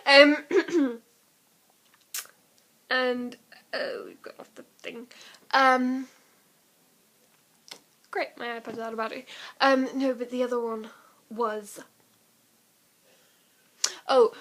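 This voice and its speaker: Young female vlogger, giggly and self-effacing, slow casual delivery on the higher side. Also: steady tone, a bit bright. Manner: giggly, self-deprecating, informal. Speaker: amateur vlogger. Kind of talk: casual rambling chat to camera.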